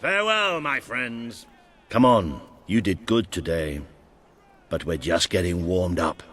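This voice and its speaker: An actor playing a gruff, loyal older veteran soldier and mentor in a video game, blunt and commanding. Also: male voice, deep voice, expressive, unhurried, fairly bright tone, full character voice. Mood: encouraging. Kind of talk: video game character acting.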